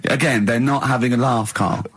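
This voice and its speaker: A man's voice: medium pitch, fairly even intonation, normal speed, fairly bright tone, breathy edge, no echo. A man chatting casually as a radio host, teasing and joking, often close to laughter. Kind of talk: casual comedic radio chat.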